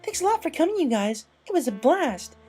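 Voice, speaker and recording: A woman voicing an exuberant, cheery female pony in a story, yelling out merrily. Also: high voice, sing-song, brisk, faint music behind. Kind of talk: dramatic fan-fiction story reading.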